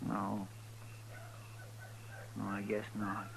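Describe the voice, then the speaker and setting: Creaky, folksy Western sidekick. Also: male voice, lowish voice, completely flat delivery, very slow, full character voice. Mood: sad, pondering. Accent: rural American. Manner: drawling, deferential, plain-spoken. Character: folksy, earnest, simple deputy sidekick in the Old West. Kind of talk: 1950s radio western acting. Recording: faintly noisy old 1950s radio recording.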